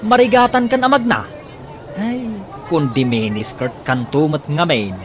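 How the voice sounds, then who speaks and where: A man's voice: a little high, measured pace, a faint crowd, lightly acted. A man playing an exasperated, nagging husband in a radio comedy drama, with an Ilocano accent and a grumbling manner. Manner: grumbling, complaining. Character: exasperated husband nagging about his wife's flashy outfits.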